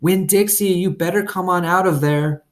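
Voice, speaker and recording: A man's voice: high-pitched, normal speed, pitched above the performer's own voice, natural voice. A man reading the lines of a feisty ten-year-old girl in a storybook. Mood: calling out anxiously.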